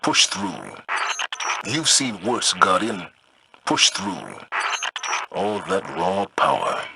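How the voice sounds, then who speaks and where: An actor playing a resolute, authoritative military commander in a video game, with a very raspy voice. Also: male voice, deep voice, wildly swooping pitch, takes it slowly, noisy background, exaggerated voice. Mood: solemn.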